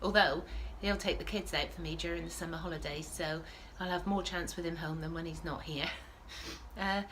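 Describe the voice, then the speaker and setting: Woman host, lowish voice, sniffling with a cold, chatty and self-correcting. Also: steady tone, moderate pace, noisy background. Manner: chatty, rambling, self-correcting with frequent ums. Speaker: podcast host. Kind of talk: casual knitting podcast chat. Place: at home.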